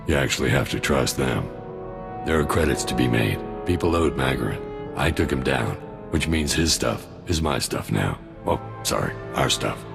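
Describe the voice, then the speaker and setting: Very deep, gravelly, wry mercenary soldier. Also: male voice, full character voice. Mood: dry, businesslike. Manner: wry, sardonic, laid-back. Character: cynical, greedy mercenary soldier, alien arms dealer with dry humour. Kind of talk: video game cutscene dialogue.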